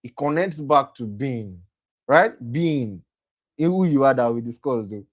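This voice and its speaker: A young man leads a motivational talk for young people at a mid-range pitch. He circles back over his points, corrects himself mid-sentence, and keeps asking his listeners "right?". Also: lively intonation, measured pace. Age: young adult. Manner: repetitive, self-correcting, often asks "right?". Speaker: program host and speaker.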